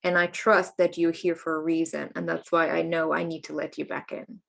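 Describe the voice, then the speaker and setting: A woman's voice: deep voice, little pitch movement, normal speed, gravelly. A woman in her late thirties from Germany, telling her life story openly and chattily in an interview. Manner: open, chatty, laughs often while telling her story. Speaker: guest, coach and healer.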